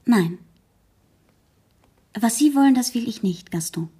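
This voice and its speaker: A woman's voice: fairly high pitch, lively intonation, unhurried, warm tone, breathy edge. An actress playing an outspoken, proud teenage girl in a German radio play, speaking earnestly and frankly. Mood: earnest, firm.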